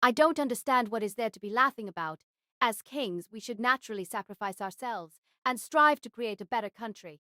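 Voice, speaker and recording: A woman's voice: fairly high pitch, lively intonation, a little fast, bright, forceful tone, no room sound, studio quality. A woman playing a proud, earnest young knight king spirit in an audio drama, haughty and formal in her delivery.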